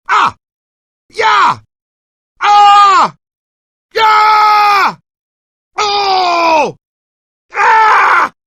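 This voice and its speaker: Middle-aged ghostbuster type for a game, eager team leader, swings all over, super dry sound. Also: male voice, very high-pitched, pushed and bright, dry recording, full character voice. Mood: pained, startled. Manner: enthusiastic, slangy, folksy team-leader talk. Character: eager, excitable middle-aged male ghost hunter mentoring a rookie. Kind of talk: video game voice acting.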